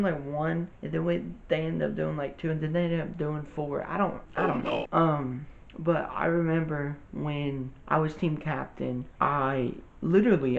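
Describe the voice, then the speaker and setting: A young man talks through a rambling storytime for his channel, his slightly high voice carrying a gravelly fry. The recording sounds a bit dull and lo-fi. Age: young adult. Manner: rambling, informal, with many restarts and fillers. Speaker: vlogger. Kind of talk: casual storytime monologue.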